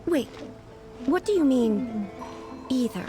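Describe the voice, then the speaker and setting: A woman voicing a regal, godlike ruler who is composed and curious, speaking in a measured, formal and dignified way. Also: sits high, expressive, warm tone. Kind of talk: video game voice acting. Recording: game audio with clear music bed.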